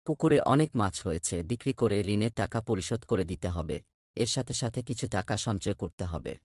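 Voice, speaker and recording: A man's voice: fairly low pitch, expressive, moderate pace, a little mellow, no room sound, studio quality. A man playing a hardworking, respectful village fish farmer in a cartoon, earnest and plain-spoken. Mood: caring. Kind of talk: cartoon voice acting.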